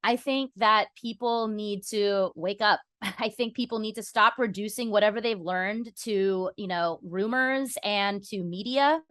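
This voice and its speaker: A woman's voice: medium pitch, measured pace, hardly any pauses, a bit bright, no room sound, clean studio sound. A woman guest on a podcast explaining her views conversationally, earnest and articulate, often saying "you know".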